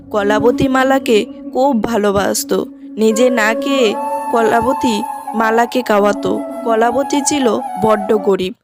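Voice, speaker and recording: A woman with a fairly high voice narrates a fairy tale from a script. Music plays clearly behind her storytelling.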